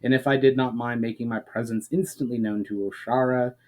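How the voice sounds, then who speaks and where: A man reading as a calm, formal elf. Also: mid-range voice, close to an everyday voice. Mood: explanatory. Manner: formal, measured, explanatory. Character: male elf spell-weaver, calm, courteous, gently admonishing.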